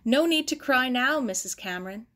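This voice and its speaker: A woman's voice: high-pitched, lively intonation, normal speed, a bit bright, pitched above the performer's own voice. A woman voicing an earnest, caring young girl in a storybook reading. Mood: comforting. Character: young girl, Sarah's caring, anxious friend.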